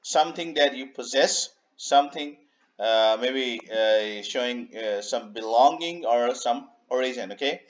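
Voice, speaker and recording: A man explains English grammar over slides in an unhurried voice-over. He repeats points and leans on "okay" and "uh" as he goes. His voice is mid-pitched, and the audio is slightly dull and lo-fi. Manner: unhurried, repeats himself, many "okay" and "uh" fillers. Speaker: English teacher. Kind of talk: slide-based grammar lesson. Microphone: voice-over on a screen recording.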